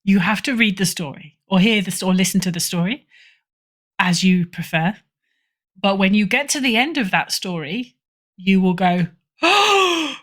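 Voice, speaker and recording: A woman's voice: mid-range voice, animated, moderate pace, a bit bright. A woman speaking as an editor guest in a casual interview, emphatic and opinionated in a conversational way. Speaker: podcast guest, fiction editor.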